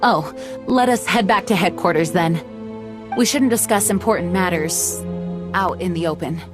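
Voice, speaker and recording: A woman voicing a dignified, earnest young knight commander in a video game, speaking in a formal, measured way. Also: on the low side, lightly acted. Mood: serious. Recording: music behind the voice.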